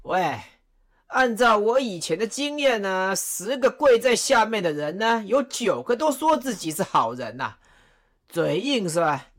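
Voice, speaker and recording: A woman's voice: medium pitch, big pitch swings, measured pace. A woman playing Cao Cao, a wry, menacing chancellor who lectures mockingly and makes sly threats. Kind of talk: radio drama acting.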